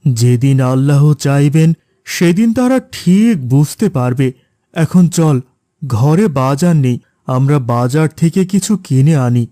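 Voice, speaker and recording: A man voicing a gentle, patient middle-aged father in a cartoon. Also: on the high side, expressive, measured pace, dry recording, clean studio sound. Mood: reassuring. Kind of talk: cartoon voice acting.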